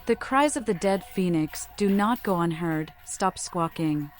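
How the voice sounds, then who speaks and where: A woman plays a mad vampire heroine from a video game, pitched low. She is solemn and eerie and declaims in riddles. Faint music sits behind her. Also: expressive, unhurried. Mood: cryptic, commanding. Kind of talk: fan voice-over of a video game protagonist.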